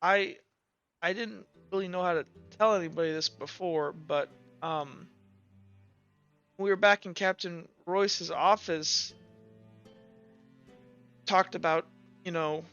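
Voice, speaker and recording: A man's voice: high voice, slow, long pauses, fairly bright tone, clean tone, heavily acted. A man voicing a shy, guarded teenage boy in a tabletop game, earnest and hesitant, stumbling over his words. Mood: hesitant, confiding. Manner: hesitant, stammering, sheepish. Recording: dull audio with music.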